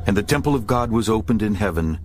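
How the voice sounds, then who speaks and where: Low-voiced solemn male scripture narrator. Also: animated, fast, darkish tone. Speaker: audiobook narrator. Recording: music behind the narration.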